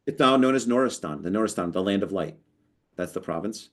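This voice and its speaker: Deep-voiced man telling a rambling story. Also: a little fast. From United States. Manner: animated, storytelling, lots of restarts. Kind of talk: casual conversation.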